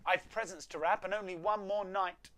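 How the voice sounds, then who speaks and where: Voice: mid-range voice, steady tone, moderate pace, a bit bright, lightly acted. A storyteller voicing the cheerful, helpful hero of a children's Christmas story in a playful, emphatic way. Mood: modest.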